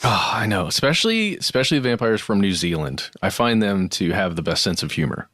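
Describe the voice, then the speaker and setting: A man's voice: fairly low pitch, very animated, a little fast, fairly bright tone, no echo, studio quality. A man co-hosting a podcast in casual banter, playful and tongue-in-cheek. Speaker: podcast co-host. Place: remote recording, dry close sound.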